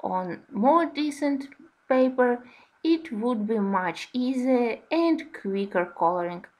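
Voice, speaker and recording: A woman, a native Russian speaker, narrating a tutorial voice-over in careful, slightly halting non-native English. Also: a little high, lively intonation, a little mellow. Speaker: host of a coloring tutorial channel.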